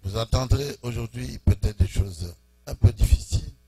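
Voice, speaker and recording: Pastor guy in church, dark mellow voice, slow bits of french, waits for translator. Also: mid-range voice, husky, no room sound. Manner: slow, pausing phrase by phrase for the interpreter, chuckling warmly. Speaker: preaching pastor. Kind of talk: sermon greetings in French with interpreter. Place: church hall.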